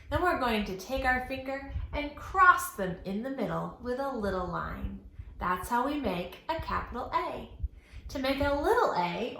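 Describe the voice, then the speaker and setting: A woman hosting a children's storytime lesson, speaking in an animated, sing-song, instructional way and enunciating carefully for young children. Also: a little high. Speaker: children's library storytime host. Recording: roomy echo, faint background noise.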